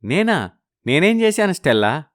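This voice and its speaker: A man playing a worried, earnest businessman husband in an animated story. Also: a little high, normal speed. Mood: defensive. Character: worried young businessman husband living abroad.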